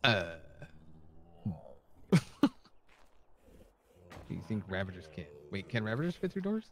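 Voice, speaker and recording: A man's voice: on the low side, sing-song, takes it slowly, a little hiss or hum. A man streaming a co-op survival game, chatting casually with jokey outbursts and laughs. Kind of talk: casual co-op gaming chat.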